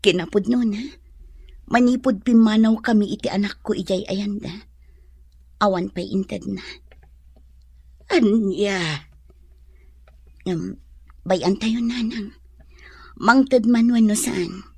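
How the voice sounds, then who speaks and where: A woman's voice: mid-range voice, fairly even intonation, takes it slowly, pauses often, darkish tone, breathy edge, dry recording. An actress with an Ilocano accent playing a weary but determined young mother, emotional and sighing, in a radio melodrama. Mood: weary, resolute. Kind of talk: melodramatic Filipino radio drama acting.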